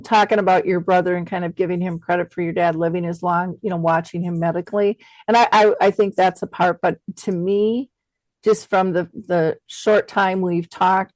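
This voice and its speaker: The voice is a woman's, middle-aged or older, sitting a bit low in pitch. She hosts in a reflective, conversational style, often restarting and repeating words as she thinks. The sound is dry, with very little room around it. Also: a little mellow, no echo, low-quality recording. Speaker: interview host.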